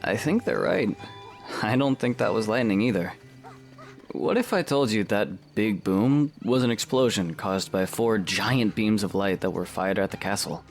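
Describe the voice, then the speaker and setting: A man playing a calm, stoic young swordsman hero with an understated, dry humour in a fan audio drama. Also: lowish voice, normal speed, creaky, faint music behind. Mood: earnest, dry.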